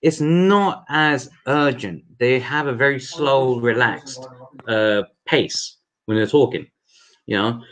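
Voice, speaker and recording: A man's voice: mid-range voice, slow, fairly bright tone, low-quality recording. A man hosting a casual livestream interview, talking loosely and repeating words as he thinks. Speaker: host.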